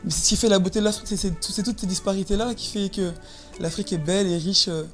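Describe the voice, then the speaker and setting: A woman around thirty, from Paris, talks as a guest in a casual interview. She has a medium pitch and a halting delivery, doubling back on words as she looks for the right one. Music plays faintly behind her. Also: fairly even intonation. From Paris, France. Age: about 30. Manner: halting, repeats words as she searches for phrasing.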